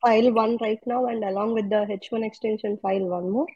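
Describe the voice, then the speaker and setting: Husky, mellow woman on muffled call. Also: mid-range voice, fairly even intonation, brisk, no echo. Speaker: caller seeking advice. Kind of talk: hesitant questions on a call. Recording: dull lo-fi phone conference audio.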